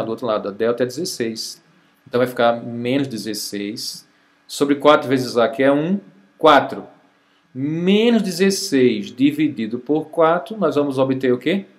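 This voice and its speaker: A Brazilian man teaching a recorded math review lesson, explaining step by step and checking in often with the class. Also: mid-range voice. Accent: Brazilian Portuguese. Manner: slow, patient explaining with frequent check-ins.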